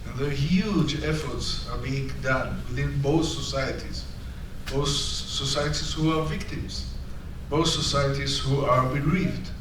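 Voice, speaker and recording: A man's voice: medium pitch, steady tone, unhurried. Earnest man searching for words, echoey. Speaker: panel guest. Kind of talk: public discussion, speaking to an audience. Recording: echoey hall, distant mic, noisy.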